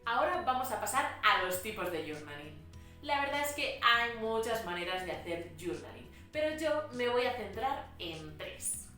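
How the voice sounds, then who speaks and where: A woman with a Spain Spanish accent, a Spanish teacher explaining writing practice in a clear, patient way. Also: a little high, very animated, normal speed, sharp tone, soft background music. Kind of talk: educational vlog narration. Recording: echoey room, mic at a distance.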